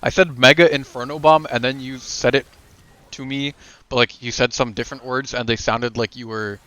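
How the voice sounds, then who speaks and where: A man from Israel casting a live esports match, calm and dry in his play-by-play. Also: medium pitch, normal speed. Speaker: esports caster. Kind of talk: live game commentary.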